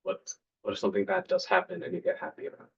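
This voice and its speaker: A man's voice: high voice, flat delivery, very fast, gravelly, a bit bright, slightly rough. A man in a class, talking casually and hesitantly, with restarts and lots of "like". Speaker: student in class. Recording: dull lo-fi class recording.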